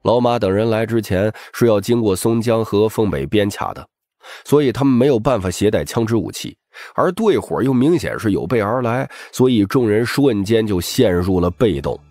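A man's voice: lowish voice, expressive, moderate pace, warm tone, no echo, studio quality, soft background music. A man narrating scripted fiction as a dramatic audiobook reader.

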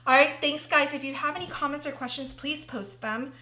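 A woman's voice: high-pitched, fairly even intonation, brisk, bright, forceful tone, echoey room. A woman hosting a casual craft tutorial, thinking aloud as she works, and losing her voice. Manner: chatty, thinking aloud while working.

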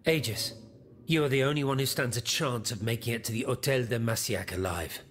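Casting: actor as peacemaking priest, medium register, earnest and formal, period game scene, faint ambience. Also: male voice, mid-range voice, brisk, a bit bright, a little hiss or hum, natural voice. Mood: imploring, urgent. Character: concerned, peacemaking male French priest. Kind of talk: game cutscene voice acting, period drama.

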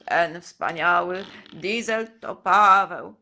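A woman's voice: on the low side, slow pace, sharp tone, voice pushed down, heavily acted. A woman voicing a kindly male elder who patiently explains his toys in a children's play story. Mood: kindly, proud.

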